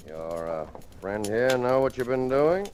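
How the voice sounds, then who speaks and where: Wry police lieutenant: laconic, knowing, widely varied pitch, vintage radio acting, faint noise. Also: male voice, sing-song, a little mellow. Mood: wry, probing. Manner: laconic, casual, with drawn-out pauses. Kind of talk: 1940s-50s radio thriller acting. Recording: noisy vintage radio drama recording with clicks.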